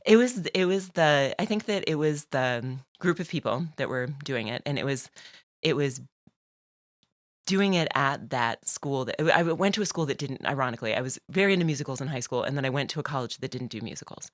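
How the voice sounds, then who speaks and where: A woman's voice: rumbling low, lively intonation, a little fast, no echo. Chatty laughing woman, dry sound. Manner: chatty, laughs often, many fillers. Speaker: podcast guest. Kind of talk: casual conversational interview.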